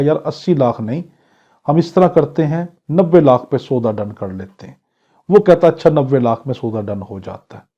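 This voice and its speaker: A muffled, lo-fi recording of a man with a Pakistani accent teaching law. His voice sits a little high and has a soft, mellow tone. He explains in a didactic way, posing questions and working through examples for exam students. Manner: reads English clauses slowly, then explains them in Urdu; didactic, poses questions and answers them. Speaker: law lecturer. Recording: dull, lo-fi lecture recording.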